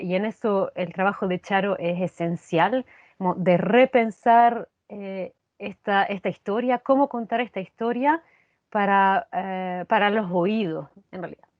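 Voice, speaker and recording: A woman speaks at medium pitch in a reflective interview. She explains carefully, searching for her words, on a dry and slightly muffled recording. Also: darkish tone, no echo. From Chile. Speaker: actress being interviewed. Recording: dull lo-fi dry sound.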